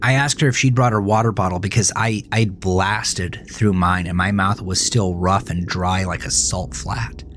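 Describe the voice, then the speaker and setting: A man playing a troubled teenage boy who narrates his own horror story in a brooding, confessional way, often dropping to a hushed murmur. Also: low voice, fairly even intonation, moderate pace, few pauses, a little hiss or hum. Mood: uneasy, confiding. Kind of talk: dramatic first-person horror audio narration. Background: music.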